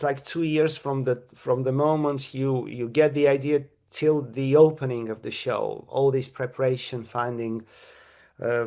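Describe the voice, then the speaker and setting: Middle-aged guy at a press conference, keeps stumbling and repeating words, pitch right in the middle. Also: a little mellow. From Bulgaria. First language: Bulgarian. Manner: halting, many repeated words and restarts. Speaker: theatre director at a press conference.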